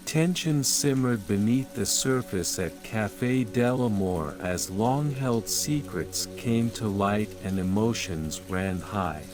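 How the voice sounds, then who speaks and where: A man narrating a sleep story in a calm, measured, soothing way, as scripted bedtime storytelling. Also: fairly low pitch. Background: calm music and rain sounds.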